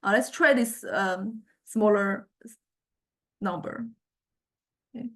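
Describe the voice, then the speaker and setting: A woman lecturer giving an informal software demonstration, thinking aloud in a halting way as she goes. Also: mid-range voice. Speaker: music lecturer.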